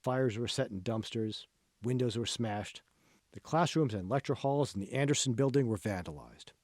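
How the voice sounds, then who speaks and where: A man's voice: medium pitch, expressive, moderate pace, a little mellow, no echo, studio quality. A man reading a novel aloud as scripted audiobook narration. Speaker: author reading own audiobook.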